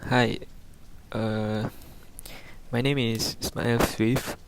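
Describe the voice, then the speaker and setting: Man playing gravelly, despondent young patient. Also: mid-range voice, level tone, a little hiss or hum. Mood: resigned, halting. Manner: halting, resigned. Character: despondent young male cancer patient confessing struggles. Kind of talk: amateur radio drama monologue.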